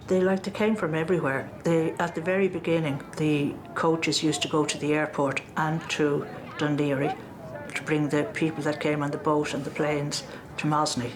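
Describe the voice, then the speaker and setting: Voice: medium pitch, level tone, moderate pace. Middle-aged Irish local, faint background noise. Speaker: documentary interviewee, local resident. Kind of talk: conversational recollection.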